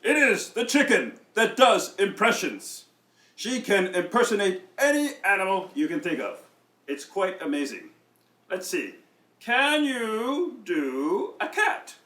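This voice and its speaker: A man voicing a grand, excitable dragon puppet who speaks in a dramatic, drawn-out showman's manner. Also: high voice, roomy sound, exaggerated voice. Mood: theatrical.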